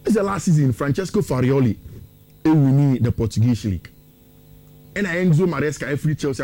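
A man's voice: a little high, mellow. A man with a Ghanaian accent giving opinionated, conversational sports preview commentary as a football pundit, slipping into local-language phrases.

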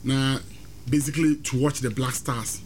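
A man's voice: mid-range voice, steady tone, moderate pace, a little hiss or hum. A man with a Ghanaian accent discussing football, mixing Twi and English. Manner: mixes Twi and English, thoughtful. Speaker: sports pundit. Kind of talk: casual sports chat.

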